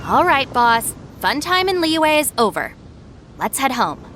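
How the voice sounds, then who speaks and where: A woman's voice: sits high, big pitch swings, unhurried, fairly bright tone, a little hiss or hum, exaggerated voice. A woman playing a sensible, stern young gang deputy in an anime game, speaking in a firm, no-nonsense way. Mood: exasperated.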